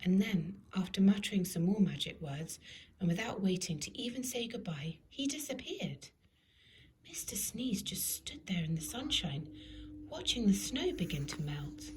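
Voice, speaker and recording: A woman narrating a children's storybook in an expressive, deliberate storytelling manner. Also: medium pitch, a little hiss or hum, rough recording. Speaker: storybook reader.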